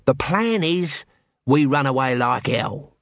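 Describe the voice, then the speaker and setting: A man's voice: medium pitch, expressive, slow pace, creaky, a bit bright, no room sound, full character voice. A man voicing a shifty, cowardly watchman who talks in a casual, uneducated, matter-of-fact way. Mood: wary.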